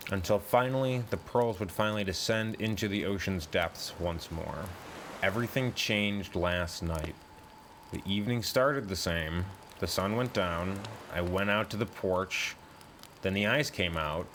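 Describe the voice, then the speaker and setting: A man narrating a first-person horror story in a deliberate, brooding, suspenseful way, as in a dramatic audiobook. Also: low-pitched, a bit bright, a little hiss or hum.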